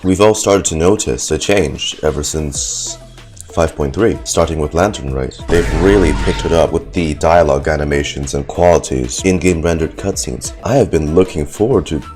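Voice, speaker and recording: A man's voice: a bass voice, lively intonation, normal speed, darkish tone. A man giving casual, sarcastic, sweary live commentary as he streams a game. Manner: sarcastic, profane. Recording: game music behind the voice. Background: game music.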